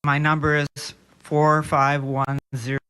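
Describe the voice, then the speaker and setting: A woman's voice: sits low, little pitch movement, unhurried, fairly bright tone, clear, resonant tone, low-quality recording. A middle-aged woman from northern Iowa, a campus minister, giving formal public testimony with the odd folksy aside.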